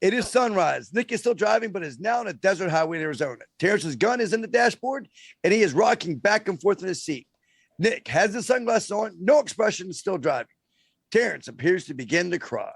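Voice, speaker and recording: A man with a gravelly, smoker-like voice narrating a script as co-host. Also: sits high, sing-song, a little fast, no echo.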